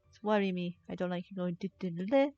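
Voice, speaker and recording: A woman's voice: lowish voice, fast talker, mellow, muffled recording. A woman livestreaming a game with casual commentary, musing aloud in a playfully sceptical way on a close mic. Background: faint game music.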